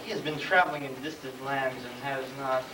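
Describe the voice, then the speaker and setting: A man playing a deferential, long-winded royal chancellor in a stage comedy, formal and halting as he picks his words. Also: mid-range voice, natural voice. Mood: careful, tactful. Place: school stage, live play performance. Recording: distant, noisy, echoey room recording of play.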